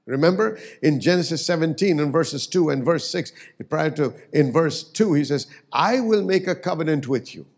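A man's voice: fairly high pitch, lively intonation, moderate pace, hardly any pauses, darkish tone. A man preaching a sermon as pastor, emphatic and swelling on key words.

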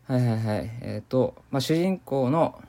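A man giving improvised deadpan commentary as he plays a video game. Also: mid-range voice, normal speed, vocal fry. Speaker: gamer doing commentary. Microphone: voice memo recording.

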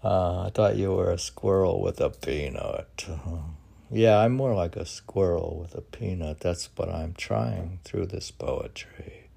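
Deep, gravelly, slow-talking older man. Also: mellow. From United States. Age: 60s. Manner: halting, musing, talks in slow fragments. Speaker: poet. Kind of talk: casual rambling talk mixed with poetry reading.

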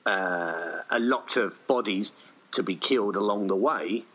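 A man's voice: lowish voice, unhurried, fairly bright tone, slightly rough, rough recording. A man chatting casually in an interview, rambling and hesitant as he thinks aloud. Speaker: writer-director of an audio play being interviewed.

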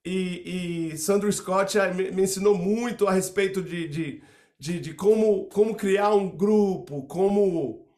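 A man's voice: high voice, takes it slowly, a little mellow. A middle-aged man from Salvador with a Bahian Brazilian accent, answering casually as an interview guest and often repeating words as he restarts. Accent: Brazilian Portuguese (Bahia). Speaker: percussionist guest.

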